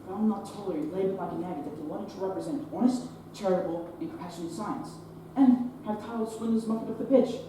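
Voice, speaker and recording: A young actress playing a stern male hospital chief who lectures in clipped, forceful sentences on a stage. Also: medium pitch, fast talker, few pauses, close to an everyday voice. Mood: stern, lecturing. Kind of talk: stage play acting. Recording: echoey stage from far off, noisy.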